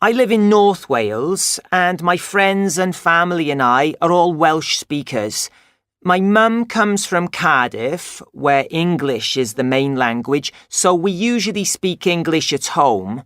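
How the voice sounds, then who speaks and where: A man playing a young man from North Wales, who speaks in a measured, firm scripted monologue. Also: a little high, animated, fairly bright tone, no room sound, clean studio sound.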